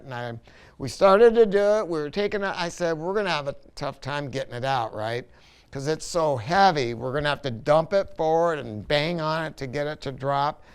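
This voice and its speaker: A man with a fairly high, very clean and smooth voice presents a product review. He talks casually and colloquially, like a folksy shop salesman. He leans emphatically on his points.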